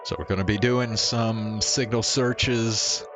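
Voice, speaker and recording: Deep voiced guy on a gaming stream, slow casual low-key chatter, bit of music behind him. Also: fairly even intonation. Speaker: livestream gamer.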